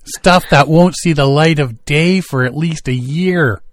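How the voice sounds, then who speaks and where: A man hosting a radio show, joking and teasing his co-host in casual banter, quick to laugh. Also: fairly high pitch, dry recording, studio quality. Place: studio.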